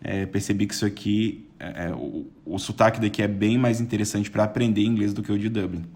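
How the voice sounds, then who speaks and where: A man's voice: on the low side, brisk, a little mellow. A young Brazilian man as a podcast guest, chatting casually with lots of restarts and the odd laugh. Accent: Brazilian Portuguese.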